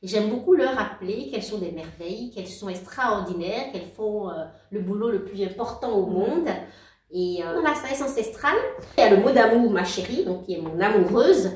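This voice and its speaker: A woman in her forties talks through her life story in a relaxed interview, warm and halting, circling back over her words. She sounds a little distant from the mic, in a roomy space, as on a video call. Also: medium pitch. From outside Belgium. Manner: warm, halting, many restarts and laughs. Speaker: guest. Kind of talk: casual interview talk.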